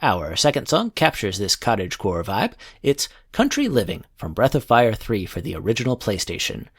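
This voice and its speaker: Husky, low-pitched male podcast host. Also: huge pitch swings, moderate pace. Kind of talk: scripted music show narration.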